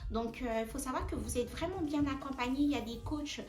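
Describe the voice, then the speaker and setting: Young woman vlogger, high voice, casual hesitant chat, roomy echo. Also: fairly quick, a little mellow. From French Guiana. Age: 20s. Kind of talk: casual chat to camera, sharing advice. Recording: echoey room.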